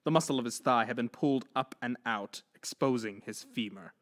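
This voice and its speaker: A man's voice: mid-range voice, a little fast, fairly bright tone. A man narrating a horror story in an intense, theatrical manner.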